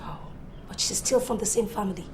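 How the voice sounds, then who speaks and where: A woman's voice: mid-range voice, fairly quick, roomy sound, noisy background, lightly acted. An actress playing a haughty, scornful mother who talks in a mocking, dismissive way. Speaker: mother and company head.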